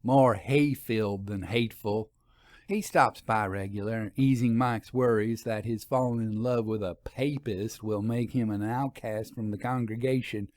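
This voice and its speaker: A man's voice: fairly low pitch, fairly even intonation, darkish tone, no echo, lightly acted. A man voicing a cheerful, folksy young country wife in a storybook reading. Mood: wry, cheerful. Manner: folksy, teasing, quick to laugh.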